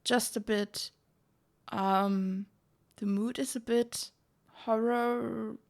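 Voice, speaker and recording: A young woman chatting casually on a livestream in an excitable, giggly manner. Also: fairly high pitch, very slow, vocal fry. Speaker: streamer.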